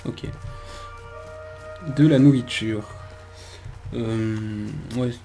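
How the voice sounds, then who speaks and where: A man's voice: on the low side, steady tone, extremely slow, mellow, soft background music. A man giving casual game commentary as he plays, hesitant and musing aloud. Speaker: gamer, let's player.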